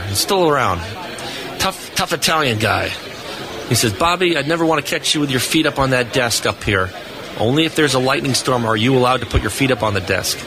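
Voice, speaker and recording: An older man co-hosting a live radio show, telling jokey stories and explaining radio lore in a casual chat. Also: medium pitch. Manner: jokey storyteller. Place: convention floor booth. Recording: noisy live convention floor.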